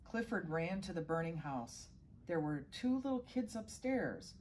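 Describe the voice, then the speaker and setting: A woman's voice: lowish voice, very animated, measured pace, a little mellow, slight background noise, low-quality recording. A middle-aged woman reading a children's storybook aloud as a guest reader, now and then stumbling and correcting herself.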